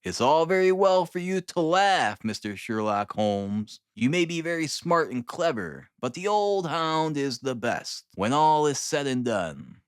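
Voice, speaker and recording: A man's voice: fairly high pitch, very animated, measured pace, hardly any pauses, clear, resonant tone, no room sound, clean studio sound. A man voicing a touchy, self-important little police detective in an audiobook of a classic mystery novel. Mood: indignant, boastful.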